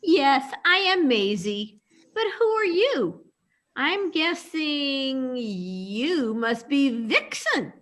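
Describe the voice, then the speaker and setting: A woman's voice: sits high, sing-song, extremely slow, fairly bright tone, full character voice. A woman playing a sweet, bashful female character in a radio play. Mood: flattered, curious. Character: sweet, bashful, delighted Maisie.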